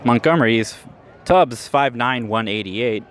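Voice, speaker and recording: A man calling baseball play-by-play in radio style, measured between pitches and lifting sharply on big plays. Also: a bit bright. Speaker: play-by-play announcer. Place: ballpark stands, outdoors. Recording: live ballpark recording with outdoor noise.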